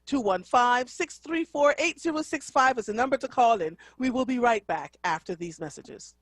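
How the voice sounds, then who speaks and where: A woman hosting a call-in radio talk show, affirming callers with steady mm-hmms. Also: on the high side, normal speed, very noisy. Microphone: studio mic.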